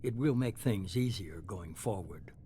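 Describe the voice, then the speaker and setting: Measured, calculating boss, noisy ambience. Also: male voice, deep voice, lively intonation, warm tone. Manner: measured, authoritative, unapologetic. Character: shadowy calculating male leader, middle-aged, coolly self-justifying. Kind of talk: dramatic video game dialogue.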